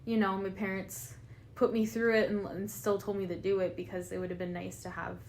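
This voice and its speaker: A young Canadian woman speaks in a thoughtful, reflective way, and her mid-range voice frays into a gravelly fry. A faint background hiss sits under the recording. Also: mid-range voice, little pitch movement, fairly quick, a little mellow. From Filipino family, raised in Canada. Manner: reflective, gives frequent "mm-hmm" backchannels. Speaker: podcast co-host. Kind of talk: casual conversation.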